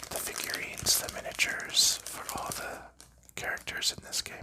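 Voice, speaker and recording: A man giving a whispered ASMR walkthrough of a board game, explaining rules and components in a soft, unhurried voice. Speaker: ASMR creator.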